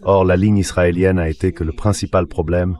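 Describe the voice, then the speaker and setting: Male expert guest, very low voice, measured explanations, translated synthetic voice-over. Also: little pitch movement, mellow. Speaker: expert guest, international relations professor. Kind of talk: translated voice-over of political analysis.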